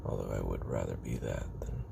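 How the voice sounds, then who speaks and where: A man playing a weary, guarded former assassin in a confessional audio roleplay, murmuring haltingly with a gravelly, breathy voice. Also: rumbling low, background noise, full character voice. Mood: bitter, weary. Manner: halting, hesitant, self-doubting, trailing pauses.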